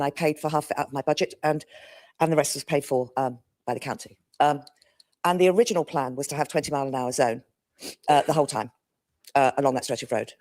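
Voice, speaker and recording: Brisk, earnest councillor. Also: male voice, on the high side, darkish tone. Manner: earnest, many ums, brisk. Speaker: local councillor. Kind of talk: council meeting speech. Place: council chamber.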